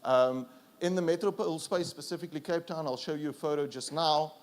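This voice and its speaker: A man's voice: a little high, fairly quick. Afrikaans-accented man giving a conference talk. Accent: South African. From South Africa. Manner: easygoing, self-deprecating, with frequent fillers and restarts. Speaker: conference speaker. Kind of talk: conference presentation.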